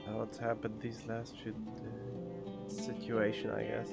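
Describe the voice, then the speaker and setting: A man giving casual live game commentary as a streamer, skeptical and halting, on a studio microphone. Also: fairly low pitch, completely flat delivery, creaky, over loud music. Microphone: studio condenser microphone.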